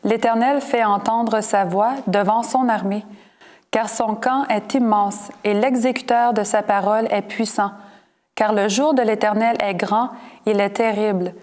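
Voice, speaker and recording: A woman of middle pitch reads scripture aloud for a study group. Her delivery is plain and even. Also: a little slow. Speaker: congregation member reading scripture. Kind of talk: scripture reading and short answers.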